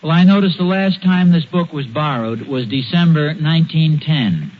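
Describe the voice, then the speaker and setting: A man's voice: a little high, expressive, normal speed, close to an everyday voice. An American man playing a bumbling, stubborn suburban dad who hems and haws through a 1940s radio comedy. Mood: defensive enthusiasm. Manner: hesitant, hemming and hawing. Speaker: sitcom lead. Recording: muffled, noisy vintage radio broadcast.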